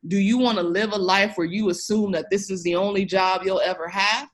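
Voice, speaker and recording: A woman's voice: medium pitch, steady tone, a little fast. A woman speaking as an interview guest, emphatic and encouraging, addressing the listener directly in a conversational way. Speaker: podcast guest, education researcher.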